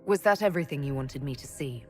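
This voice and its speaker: A woman's voice: a bass voice, expressive, fast, a little mellow, faint music behind. A woman playing a businesslike hero in a fantasy video game, speaking in a direct, questioning way.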